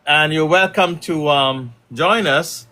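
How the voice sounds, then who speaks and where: A middle-aged man from Guyana hosting a casual livestream as a community leader, talking in a halting way with many fillers. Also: a little high, sharp tone.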